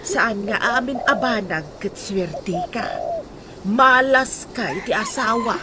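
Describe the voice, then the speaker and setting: A woman's voice: a little high, expressive, slow pace. A woman playing an older mother who consoles and advises her grown daughter in a radio drama, with an Ilocano accent. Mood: consoling. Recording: very noisy, birds and animals behind.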